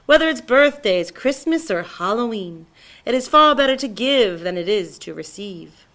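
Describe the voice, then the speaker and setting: A grand, eccentric great-aunt from a children's storybook, played by a woman reader. She is affectionate yet secretive, drawing words out theatrically. Her voice is bright and very animated in pitch, with an imperious air. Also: moderate pace. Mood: grandly instructing. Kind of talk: children's storybook read-aloud.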